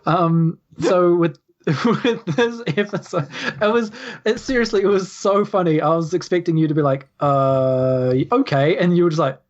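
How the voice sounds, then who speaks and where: A woman's voice: fairly low pitch, normal speed, a little mellow. A woman with a New Zealand accent casually narrating a tabletop game as game master, informal and hesitant. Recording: slightly dull, music bed behind. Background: background music.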